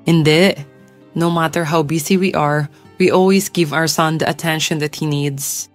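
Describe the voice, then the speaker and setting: A woman's voice: sits low, fairly even intonation, normal speed, natural voice. A woman playing a worried mother of a sick son in a Filipino audio drama, speaking earnestly over music. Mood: earnest, defensive. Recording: music bed under the voice.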